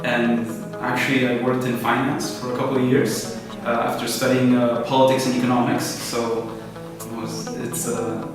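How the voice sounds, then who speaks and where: A young man from Peru, a startup founder, answering interview questions in a relaxed, thoughtful way with many fillers. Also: mid-range voice, moderate pace, few pauses. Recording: echoey room, far from mic, music behind.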